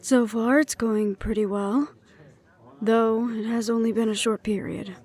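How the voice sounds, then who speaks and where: A woman playing a wry, affectionate ex-hunter in an intimate audio roleplay, speaking softly and teasingly, with breathy asides. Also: a little high, fairly even intonation, unhurried, lightly acted. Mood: tender, reassuring. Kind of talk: intimate one-sided audio roleplay acting.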